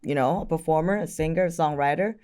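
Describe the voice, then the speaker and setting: A woman's voice: low-pitched, fast, dark tone. A woman in her twenties with a Thai accent, answering casually as an interview guest, candid and playful. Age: 20s.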